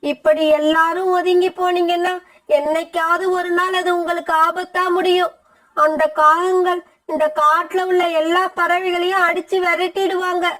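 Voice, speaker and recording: A very high-pitched, indignant old female bird played by a woman in a cartoon moral story. She complains and pleads for justice, aggrieved at every turn. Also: level tone, normal speed, fairly bright tone. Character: aggrieved elderly female bird, tearful and scolding. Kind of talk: animated moral story dialogue.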